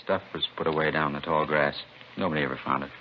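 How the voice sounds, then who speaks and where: A man's voice: very deep voice, fairly even intonation, fast talker. An American man playing a drug dealer in a vintage radio drama, placating and bossy by turns. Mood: confident. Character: smooth-talking male drug dealer, placating but bossy. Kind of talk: 1950s radio drama acting. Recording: muffled, hissy vintage radio recording.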